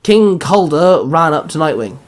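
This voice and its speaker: A man's voice: on the high side, lively intonation, moderate pace. A man reading out scripted action fiction as a narrator, now and then stumbling over a word and correcting himself. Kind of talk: scripted story narration with acted dialogue.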